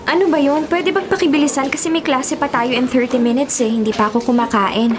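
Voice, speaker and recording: A woman's voice: sits high, steady tone, a little fast, background noise, close to an everyday voice. A woman playing a sassy, emphatic young college student with a Filipino accent in a radio drama. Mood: impatient. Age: young adult. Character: sassy young female student who secretly sees spirits. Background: music bed.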